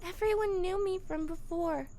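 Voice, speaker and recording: A woman voicing a shy, lonely teenage girl in a visual novel, speaking in a quiet, hesitant way. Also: very high voice, moderate pace. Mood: sad, withdrawn. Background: music playing behind the voice.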